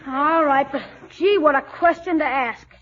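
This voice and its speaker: Very high-pitched cheeky schoolboy. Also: male voice, unhurried. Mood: cagey. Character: wisecracking schoolboy, cheeky and cagey. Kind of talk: 1940s radio comedy acting. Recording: dull, faintly noisy 1940s radio recording.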